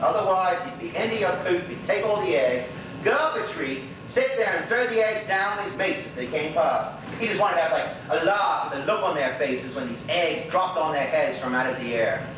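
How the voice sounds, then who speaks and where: An actor playing a lonely old widower in a stage monologue, chatting wistfully and rambling in a folksy Bermudian dialect. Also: male voice, fairly high pitch, animated, normal speed, distant mic, lightly acted. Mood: fond, amused. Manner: rambling, folksy, gossipy storytelling. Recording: echoey stage recording from afar, noisy, dull.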